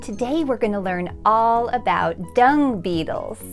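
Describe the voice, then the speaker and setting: Animated, higher-voiced woman hosting children's storytime. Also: unhurried, faint music behind. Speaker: children's author and storytime host. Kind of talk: children's read-aloud presentation.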